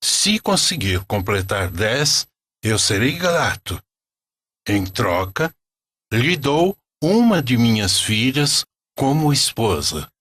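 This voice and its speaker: A man narrating a scripted religious story as a storyteller, in an expressive, deliberate way. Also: mid-range voice, slightly rough, no room sound.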